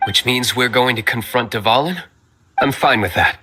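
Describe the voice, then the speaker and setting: A man's voice: medium pitch, moderate pace, bright, forceful tone, faint music behind, natural voice. A man voicing a cool, aloof young nobleman in a video game, terse and dry.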